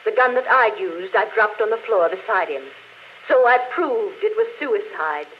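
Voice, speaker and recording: A woman's voice: medium pitch, lively intonation, moderate pace, lightly acted. An actress playing a frightened, dying woman confessing to murder in a 1940s radio drama. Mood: confiding, deliberate. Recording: muffled, noisy old radio recording.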